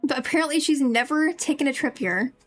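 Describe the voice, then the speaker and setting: A woman's voice: sits high, a little fast. A woman livestreamer giving casual, rambling game commentary as she chats to her viewers. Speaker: livestreamer playing a video game. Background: game music.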